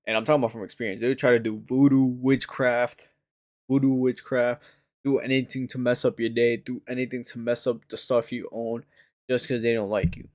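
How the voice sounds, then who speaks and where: A man reacts to a crime show for his stream, talking loosely in slang and getting worked up, his pitch shooting up when something outrages him. His voice sits in an ordinary middle register. The sound is dry and close, with no room around it. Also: no room sound. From United States. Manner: slangy and animated, breaks into outraged high rises. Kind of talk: casual reaction commentary.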